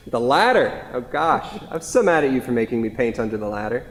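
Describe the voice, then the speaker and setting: A man's voice: medium pitch, big pitch swings, fairly quick, lightly acted. Man acting a wistful grieving widower, echoey hall. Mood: wistful, rueful. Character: grieving widower, wistful, gently rueful husband. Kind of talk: staged play reading. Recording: echoey hall with long reverb.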